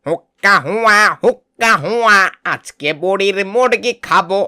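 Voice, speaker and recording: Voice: medium pitch, unhurried, bright, forceful tone, clear, resonant tone, voice pushed down. A performer voicing a sly, greedy fox thief in a children's cartoon, chanting and howling boastfully. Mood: gleeful, hungry.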